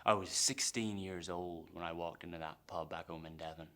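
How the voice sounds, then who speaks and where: A man playing an embittered, defiant pirate mutineer in a theatrical monologue, brooding and swinging between hushed menace and impassioned outbursts. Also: lowish voice, normal speed. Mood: bitter, haunted. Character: embittered, defiant English pirate mutineer, a former pressed sailor.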